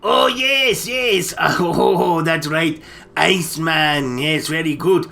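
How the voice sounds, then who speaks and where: A man with a creaky, slightly high voice chats as a comedy co-host. He hesitates and stammers, repeating words as he goes. Music runs underneath. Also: slow pace, sharp tone. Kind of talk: casual comic movie discussion.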